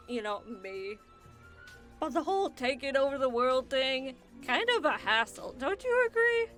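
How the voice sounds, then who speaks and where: Woman as a high, animated, sardonic giant zombie. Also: heavily acted. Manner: sardonic, chatty. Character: jaded nine-foot swamp zombie man, wryly complaining. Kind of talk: comic radio play acting.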